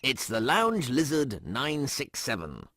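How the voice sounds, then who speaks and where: An officious medical machine with a salesman's patter, acted out by a man reading aloud. The voice is a little rough and swings widely in pitch, delivering formulaic announcements in a dry, close recording. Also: wildly swooping pitch, takes it slowly, fairly bright tone, no room sound, full character voice. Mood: smug, salesman-like.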